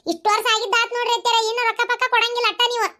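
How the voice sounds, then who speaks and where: Woman as a bossy high-pitched village daughter-in-law. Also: flat delivery, very fast, bright, forceful tone. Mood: indignant. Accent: North Karnataka. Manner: argumentative, lecturing, insistent. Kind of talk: village comedy cartoon dialogue.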